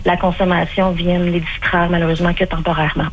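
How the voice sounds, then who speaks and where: Québécois woman, low flat voice. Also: fast, soft background music, low-quality recording. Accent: Québécois French. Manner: hesitant, many fillers and restarts. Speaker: clinical spokesperson, radio guest. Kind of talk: radio interview answers.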